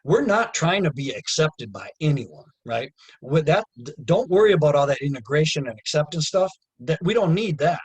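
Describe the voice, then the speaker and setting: A man's voice: fairly high pitch, expressive, normal speed, breathy edge, rough recording. A man giving closing remarks on a webinar over a video call, earnest and growing emphatic. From United States. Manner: earnest, gets emphatic and raised. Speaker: community organizer.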